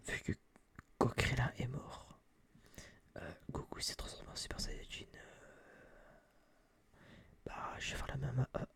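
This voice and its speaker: A young man whispering a casual, slangy, rambling review in breathy ASMR style, close to a binaural microphone. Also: medium pitch, a bit bright. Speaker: ASMR video creator.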